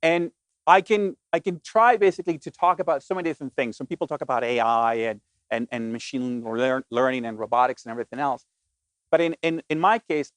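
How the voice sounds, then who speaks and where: Man, fairly high voice, dry close sound, earnest keynote speaker, stumbles and restarts. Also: dry recording. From Colombia. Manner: earnest, many restarts and filler words. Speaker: conference speaker. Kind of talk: business keynote talk.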